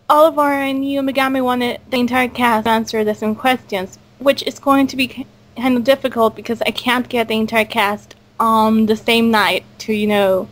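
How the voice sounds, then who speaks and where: A woman hosting a casual, informal online group interview in a chatty way. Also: on the high side. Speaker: host and audio drama creator.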